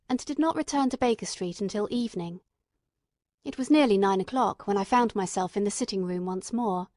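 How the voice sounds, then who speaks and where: A woman narrating a classic novel as a scripted audiobook in a measured, literary manner. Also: medium pitch, dry recording, clean studio sound.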